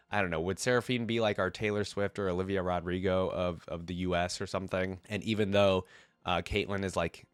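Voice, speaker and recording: A low-voiced man with a stuffy, nasal cold hosts a reaction video. He reads aloud and thinks out loud in informal asides. The sound is dry, with very little room in it. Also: no room sound. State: has a cold. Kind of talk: casual reaction commentary, reading aloud and commenting.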